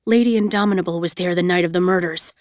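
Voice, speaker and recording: A woman voicing a man who speaks steadily and quietly, full of regret. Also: on the low side, fast talker, no echo, clean studio sound, close to an everyday voice. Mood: steady. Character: older man, steady and remorseful.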